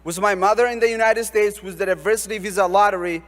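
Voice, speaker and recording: A man's voice: high-pitched, fairly even intonation. Earnest young man. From Oromia, Ethiopia. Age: young adult. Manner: earnest, measured, formal. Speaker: student commencement speaker. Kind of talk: prepared commencement speech. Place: large ceremony hall. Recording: long hall reverb.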